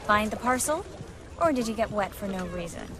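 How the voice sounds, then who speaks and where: An actress playing a young sorceress in a video game, wry, guarded and teasing. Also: female voice, mid-range voice, lively intonation, fairly quick, big-room sound, noisy background, natural voice.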